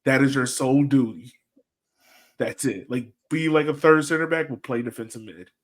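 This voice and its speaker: A man chats as a sports podcast host with a medium-pitched voice. He talks informally and works out his points aloud in a loose, rambling way. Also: normal speed. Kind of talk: casual soccer fan chat and analysis.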